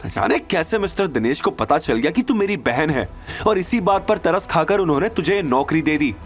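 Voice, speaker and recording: Casting: male narrator as sneering young sister, scornful and spiteful, high-pitched, lively delivery, audio drama. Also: moderate pace, faint music behind, close to an everyday voice. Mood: haughty anger. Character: haughty, spiteful young woman taunting her sister.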